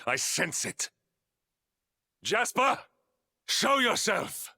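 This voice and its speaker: An actor playing a stern, righteous knight in a video game, commanding and forceful. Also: male voice, high voice, sing-song, extremely slow, exaggerated voice. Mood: grim challenge.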